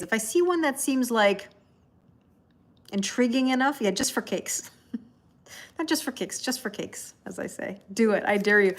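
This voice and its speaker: A woman's voice: mid-range voice, slight background noise. Chatty woman livestreaming tarot. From Canada. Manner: chatty and rambling, laughs often, answers viewers by name. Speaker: tarot reader and livestream host. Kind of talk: casual livestream chat.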